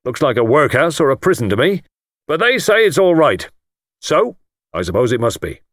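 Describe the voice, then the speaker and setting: A man's voice: fairly high pitch, big pitch swings, normal speed, no echo, studio quality, voice pushed up. A man voicing a pompous, boastful self-made older lord who talks in a blustering, self-satisfied way.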